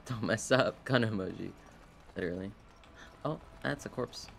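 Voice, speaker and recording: A man's voice: on the low side, normal speed. A man casually commentating on a game live, with playful, chatty asides to his viewers. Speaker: game streamer. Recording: clear background noise. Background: video game sound.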